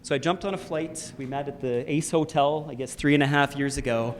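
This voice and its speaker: A man's voice: a little high, steady tone, fairly quick, slight background noise. A man with a Canadian accent, introducing a festival panel as its moderator in a loose, filler-heavy way. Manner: conversational, many fillers and "sort of".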